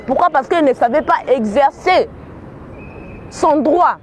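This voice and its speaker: A woman's voice: high voice, lively intonation, takes it slowly, a little mellow, a little hiss or hum. A woman from Kinshasa with a Congolese accent giving earnest spoken commentary, often repeating words as she searches for them. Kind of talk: spoken commentary mixing Lingala and French.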